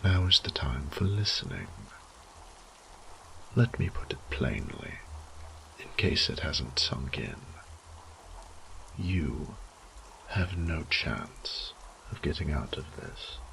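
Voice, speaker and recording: A very low, slow voice of an ancient vampire lord played by a man, murmuring with condescending menace. He is possessive and cruel in a mock-tender way, as in a horror audio drama. The tone is breathy and gravelly. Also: sing-song, fairly bright tone, a little hiss or hum, exaggerated voice, low-quality recording. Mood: menacing calm.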